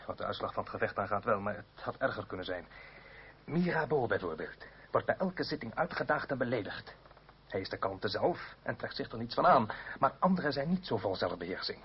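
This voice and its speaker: A man's voice: medium pitch, very animated, fairly quick. An actor playing an earnest male politician in a radio drama, explaining and placating, at times hesitant. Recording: dull noisy old radio recording.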